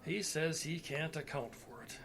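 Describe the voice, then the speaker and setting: A man's voice: a little high, steady tone, normal speed, creaky, fairly bright tone. A man playing a folksy, blustery, cagey police detective in an old-time style radio play. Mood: folksy, guarded.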